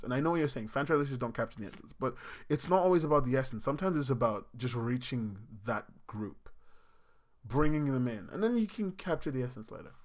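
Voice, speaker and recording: A young man with a British accent, his first language not English, chatting loosely on a podcast. His voice sits in an ordinary middle register, and he talks in a relaxed, rambling way, swearing casually and breaking into laughs. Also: mid-range voice. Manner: laid-back, swears freely, laughs often. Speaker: podcast co-host. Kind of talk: casual chat.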